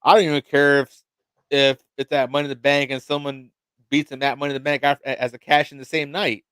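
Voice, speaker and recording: A man's voice: mid-range voice, flat delivery, brisk, fairly bright tone, clean tone, no room sound. A man hosting a casual wrestling talk stream, often stammering and restarting as he thinks aloud, chuckling at his own ideas. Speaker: wrestling show host. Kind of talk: casual livestream chat.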